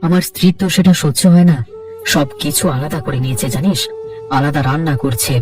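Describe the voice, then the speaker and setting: A man's voice: on the high side, fairly quick, distant mic, music in the background, close to an everyday voice. A man voicing a young married office worker in a cartoon, impatient, troubled and complaining in his frustration. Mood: troubled, upset. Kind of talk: cartoon dialogue acting.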